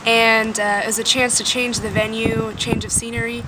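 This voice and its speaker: Student, 19, slightly high voice, relaxed and chatty, outdoor noise. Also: female voice, moderate pace. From Houston, Texas. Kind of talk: casual interview answers. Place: outdoors by campus buildings.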